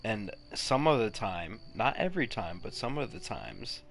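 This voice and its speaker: A man's voice: on the low side, lively intonation, normal speed, gravelly, fairly bright tone, slight background noise. A young man streaming a video game from home, chatty and casual, swearing, laughing and humming to himself. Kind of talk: casual live gameplay chat. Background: game music.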